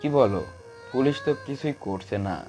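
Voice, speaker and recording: A man's voice: medium pitch, moderate pace, dark tone, faint music behind, natural voice. A man playing a familiar, reassuring associate of a mafia boss in an audio story. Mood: concerned, reassuring. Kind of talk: amateur Bengali audio-story acting.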